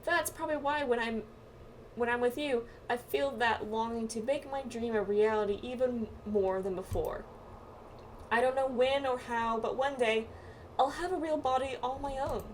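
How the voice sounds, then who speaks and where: A woman's voice: fairly high pitch, moderate pace, natural voice, low-quality recording. A woman voicing a wistful, earnest bodiless spirit from a game, with tender delivery. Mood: tender longing. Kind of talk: reading game dialogue aloud. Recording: clear background noise.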